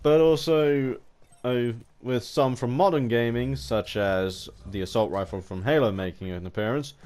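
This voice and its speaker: A man giving unscripted, rambling commentary as a gaming video host, halting and often restarting his sentences mid-word. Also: medium pitch, darkish tone, clear, resonant tone. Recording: home recording with background noise.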